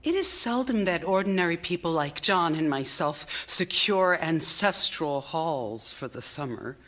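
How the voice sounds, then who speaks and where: An actress playing a troubled, intelligent Victorian wife in a radio drama, speaking formally and theatrically in an anxious, confiding way. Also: female voice, low-pitched, lively intonation, fairly bright tone. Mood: wry, confiding. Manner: formal, measured, dramatic first-person narration. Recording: live theater recording with music. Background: music.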